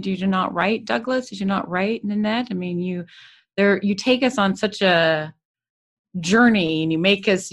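A woman hosting an interview, affirming her guest and asking probing questions. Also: medium pitch. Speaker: radio interview host.

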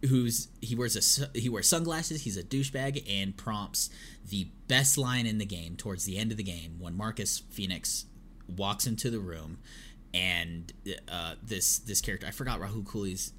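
Guy co-hosting a podcast, deep-ish voice, chatty and rambly, faint noise in the back. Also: moderate pace, a bit bright. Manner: rambling retelling with many fillers. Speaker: podcast co-host. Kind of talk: casual chat.

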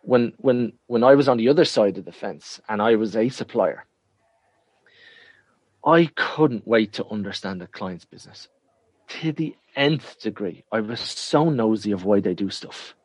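A man's voice: fairly low pitch, animated, measured pace, a little mellow, dry recording. An Irish man answering interview questions in a frank, emphatic way, often repeating himself to drive a point home. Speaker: interview guest.

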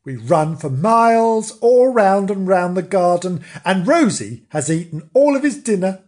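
A man voicing a brisk, easily exasperated dog with a scolding manner in a storybook. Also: fairly high pitch, very animated. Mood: cross. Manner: scolding, exclamatory.

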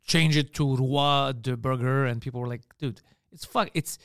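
A man with a Montreal Greek accent, chatting casually on a podcast as a comedian guest, animated and swearing freely. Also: medium pitch, measured pace, creaky, no room sound, studio quality. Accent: Montreal Greek (Park Extension). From Montreal, Canada.

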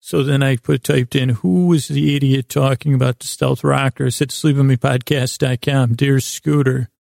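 Gravelly, creaky man rambling at bedtime. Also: medium pitch, fairly even intonation, darkish tone, no room sound, studio quality. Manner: meandering, halting, trails off mid-thought. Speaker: podcast host. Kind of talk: rambling bedtime-story monologue.

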